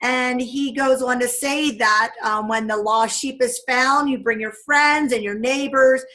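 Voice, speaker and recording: High bright woman from pennsylvania, upbeat, running the group. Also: steady tone, unhurried. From Pennsylvania, United States. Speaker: group leader recording the video. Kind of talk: casual discussion.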